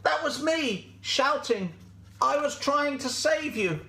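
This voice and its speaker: A storyteller as a high-voiced, earnest nanny goat. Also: animated, slow, vocal fry, bright, forceful tone, reverberant, heavily acted. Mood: insistent. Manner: bleating stammer, emphatic, earnest. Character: motherly, loyal, earnest female goat.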